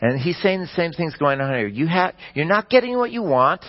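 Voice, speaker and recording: A man preaching a sermon, explaining scripture in a measured teaching manner with the odd restart. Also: a little high, big pitch swings, highs cut off. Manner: measured teaching delivery with occasional slips and restarts.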